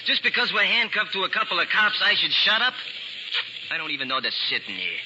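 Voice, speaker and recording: An actor playing a giggling, sadistic young gangster who taunts in a high, jeering sing-song, in 1940s radio acting. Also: male voice, sing-song, brisk, bright, forceful tone, heavily acted. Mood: taunting. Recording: muffled 1940s radio broadcast with hiss.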